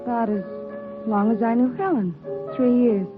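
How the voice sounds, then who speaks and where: A woman's voice: on the high side, normal speed, natural voice. An actress playing a hesitant, plain-spoken piano saleswoman in a 1950s radio drama. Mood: casual. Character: hesitant, reluctant piano saleswoman, friend of victim. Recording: tinny, band-limited old radio with music behind. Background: piano music.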